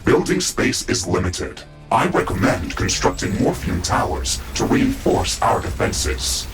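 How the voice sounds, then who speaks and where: Flat, low robotic mech-suit AI. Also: male voice, soft background music, heavily acted. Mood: calm, formal. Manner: measured, formal, clipped announcements. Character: male AI of a mecha-suit, calm tactical advisor. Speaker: AI companion giving mission reports. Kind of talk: scripted video game dialogue.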